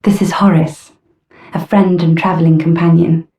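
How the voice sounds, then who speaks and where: A woman's voice: lowish voice, little pitch movement, moderate pace, dark tone, lightly acted. A woman playing a courteous, earnest young undead knight in a fantasy game, with polite, formal speech. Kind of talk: scripted fantasy game voice acting. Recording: muffled, roomy, distant-sounding game audio.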